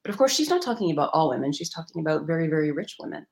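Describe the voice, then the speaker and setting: Fast-talking woman scholar, low-voiced. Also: big pitch swings, vocal fry. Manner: rapid, hedging, many restarts. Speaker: guest author. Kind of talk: academic explanation in an interview.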